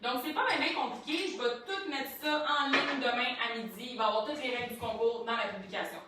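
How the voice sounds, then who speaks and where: A woman with a Québécois accent hosting a live gallery talk, agreeable and quick to chime in. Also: fairly high pitch, pushed and bright. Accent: Québécois French. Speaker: gallery host. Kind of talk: casual live conversation. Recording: echoey room, distant mic, faint noise.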